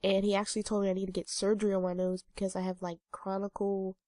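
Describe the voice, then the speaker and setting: A woman's voice: medium pitch, level tone, quick pace, mellow, dry recording, clean studio sound. A young woman in her twenties with a stuffy, congested nose, chatting casually to her viewers with lots of ums and uhs. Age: 20s. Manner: rambling, many ums and uhs. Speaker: vlogger. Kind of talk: casual talking-head vlog.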